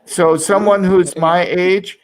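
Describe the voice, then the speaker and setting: Man, 60s, teacher, slightly raised pitch, chatty and self-deprecating. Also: steady tone, takes it slowly, poor audio. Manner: reflective, rambling, self-deprecating. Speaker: English teacher. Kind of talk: casual group chat.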